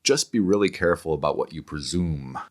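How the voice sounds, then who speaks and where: Very deep-voiced, erudite man. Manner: erudite, digressive, halting with repeated words. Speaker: interview guest, scholar and author. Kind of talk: thoughtful intellectual conversation.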